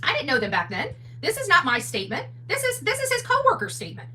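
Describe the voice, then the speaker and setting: A woman delivers combative livestream commentary in a bright, sharp, high voice. She is emphatic and blunt, throwing rhetorical questions at her audience with very animated intonation. The mic sounds distant, with a faint hum behind her. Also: quick pace. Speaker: livestream host, advocate commentator. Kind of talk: fired-up livestream commentary addressed to viewers.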